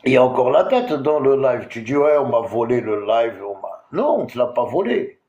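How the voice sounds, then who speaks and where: A man's voice: mid-range voice, very animated, normal speed, dark tone, roomy sound, dull audio. A man from Algeria, hosting a TikTok live and ranting at someone with many hesitations. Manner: confrontational, repetitive, full of hesitations. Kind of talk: heated live-stream rant.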